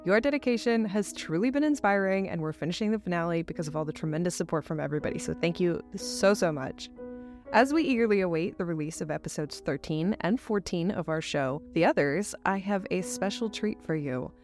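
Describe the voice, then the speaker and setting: A woman's voice: lowish voice, brisk, a little mellow, soft background music. A woman hosting with a polished, effusive scripted announcement. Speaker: host and voice actor.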